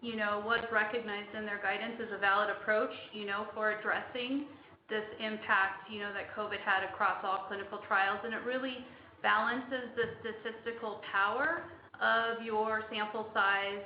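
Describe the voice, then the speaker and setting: A woman executive giving a measured, technical explanation off the cuff on a conference call. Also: mid-range voice, steady tone. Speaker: company executive. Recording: muffled, echoey, noisy conference line.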